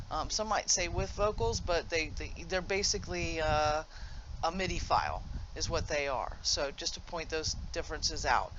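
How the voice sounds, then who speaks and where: A man's voice: sits high, a bit bright, lo-fi sound, a little hiss or hum. A man giving a casual, unscripted game tutorial in an informal, explanatory way, correcting himself as he goes. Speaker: gamer presenting a demonstration. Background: video game music.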